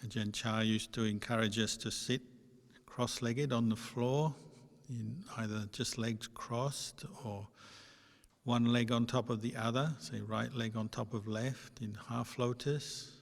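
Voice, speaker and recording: A man teaching as a meditation guide, giving gentle, unhurried instructions with long pauses between short phrases. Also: medium pitch, fairly even intonation, vocal fry. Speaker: Buddhist monk and meditation teacher. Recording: long hall reverb.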